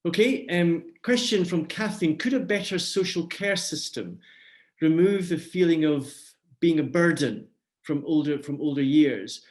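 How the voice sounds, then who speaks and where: A man moderating a webinar panel over a video call, passing the floor and reading out audience questions in a brisk, businesslike way. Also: fairly high pitch.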